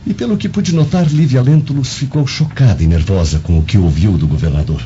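A male actor playing a scheming, lovestruck Roman man, smooth and insinuating, in an audio drama. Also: medium pitch, a little fast, noisy background, natural voice. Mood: cautioning. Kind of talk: dramatized audio novel acting. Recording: dull lo-fi radio drama recording.

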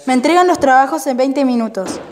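A woman's voice: sits high, a little fast, a little mellow, faint music behind, natural voice. A woman playing a dry, unyielding adult authority figure in an amateur radio play. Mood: stern. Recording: reverberant hall-like room.

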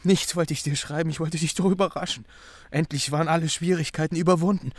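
A man's voice: on the high side, fairly even intonation, quick pace, no room sound, close to an everyday voice. An actor playing a troubled, bewildered young man in a radio drama, recounting things in an earnest, brooding way. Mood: confessing. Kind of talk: German radio drama acting.

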